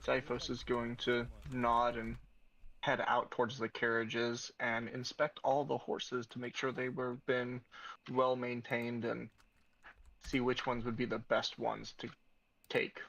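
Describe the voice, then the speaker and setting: A man takes part in a tabletop roleplaying game as a player. He talks casually, in a flat, even voice at a medium pitch. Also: unhurried, vocal fry, low-quality recording. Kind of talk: casual roleplay, describing actions.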